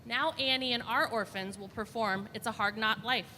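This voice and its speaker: Woman, slightly high voice, children's story hour reading, short simple phrases, reverberant hall, noticeable background noise. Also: normal speed, bright, forceful tone. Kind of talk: reading a children's picture book aloud to an audience. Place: large indoor room with children on the floor.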